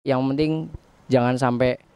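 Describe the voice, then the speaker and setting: A man's voice: medium pitch, level tone, unhurried, darkish tone, slight background noise. A young man, a student giving casual answers in a street interview. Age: young adult.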